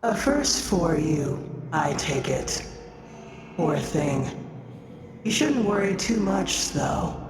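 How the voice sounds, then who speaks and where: A man playing a patronizing, quietly menacing smoke entity in an audio drama, speaking articulately and condescendingly. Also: a little high, sing-song, takes it slowly, gravelly, roomy sound, full character voice. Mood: condescending calm. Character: ominous, patronizing transcendent smoke entity, collector of souls. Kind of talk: scripted audio drama dialogue.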